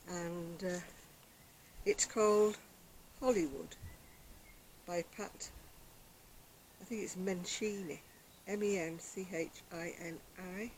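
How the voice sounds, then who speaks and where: Soft-spoken older woman chatting outdoors. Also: on the low side, fairly even intonation, slow, long pauses, slight background noise, poor audio. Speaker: crochet vlogger. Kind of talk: casual chat to camera. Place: outdoor deck in the sunshine. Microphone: external camera microphone.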